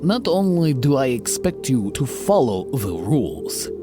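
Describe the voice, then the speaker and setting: A man's voice: medium pitch, very animated, music in the background. A man playing a stern, commanding super-soldier team leader who threatens coldly. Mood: menacing. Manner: cold, slow, threatening.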